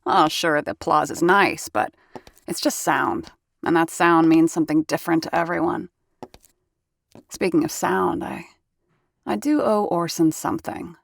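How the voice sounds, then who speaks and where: An actress voicing a wry, sharp-tongued woman who does radio sound effects in a 1930s theater, narrating in character in an audio drama. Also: sits low, unhurried, creaky, long pauses, exaggerated voice. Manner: wry, sardonic.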